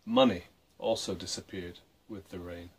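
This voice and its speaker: A man reading a story aloud for children, with expressive, deliberate phrasing. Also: deep voice, husky. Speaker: storybook reader and presenter.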